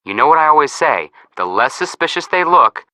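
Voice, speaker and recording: A sarcastic young male detective in a game. The voice is very bright and sharp, with animated intonation. It is recorded dry, and he jokes like a teasing younger brother. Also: a little high, a little fast, no echo, exaggerated voice. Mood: upbeat, joking. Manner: sarcastic, joking. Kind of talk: scripted game dialogue.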